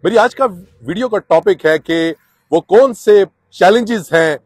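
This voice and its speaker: Middle-aged man, earnest advisory vlogger, outdoor lapel-mic delivery; slightly high voice, dry sound. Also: animated, unhurried, darkish tone, no echo. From Pakistan or India. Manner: earnest, advising, with many filler "uh"s. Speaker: immigration advice vlogger. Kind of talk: casual explanatory vlog to camera. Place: outdoors on a sunny residential street.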